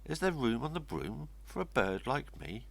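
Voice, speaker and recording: A man's voice: medium pitch, big pitch swings, normal speed, creaky, fairly bright tone, pitched above the performer's own voice, full character voice. A man voicing a polite female bird in a rhyming children's storybook. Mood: polite, hopeful.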